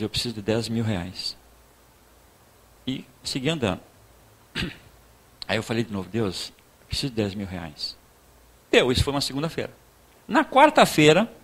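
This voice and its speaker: A man with a southern Brazilian accent from Rio Grande do Sul, preaching informally in a conversational, halting storytelling way, repeating words and acting out dialogue. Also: mid-range voice, a bit bright, husky. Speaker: pastor giving a talk. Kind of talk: informal sermon with personal testimony.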